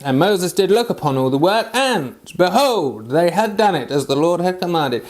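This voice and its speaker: A man with a notably high-pitched voice reads Bible passages aloud. His delivery is that of an amateur reader, somewhat halting as he works through the formal old text. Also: sing-song, a little mellow. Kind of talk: scripture reading aloud.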